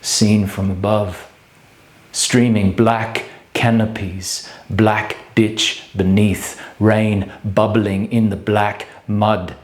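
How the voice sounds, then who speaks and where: An actor playing a bleak, solitary man brooding on death in a theatrical monologue, speaking in grave, halting fragments. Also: on the low side, flat delivery. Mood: bleak, haunted. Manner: halting, clipped fragments with measured pauses. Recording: echoey room sound.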